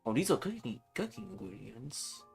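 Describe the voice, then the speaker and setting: A man's voice: medium pitch, sing-song, normal speed, gravelly. A man streaming a video game live with casual, offhand commentary. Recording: game music behind voice. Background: video game music.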